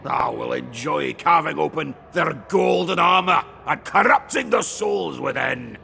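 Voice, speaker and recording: An actor voicing a bloodthirsty, zealous minion of a plague god in a fantasy game, gloating and exclaiming in a croaky voice. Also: male voice, on the high side, animated, a little slow, pushed and bright, slight background noise, full character voice. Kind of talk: fantasy game creature acting.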